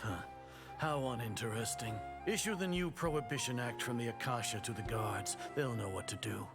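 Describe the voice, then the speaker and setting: Man playing a haughty, cold sage under loud music. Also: medium pitch, normal speed, close to an everyday voice. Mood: bored, commanding. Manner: cold, imperious. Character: haughty, dismissive sage in authority. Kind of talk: video game voice acting.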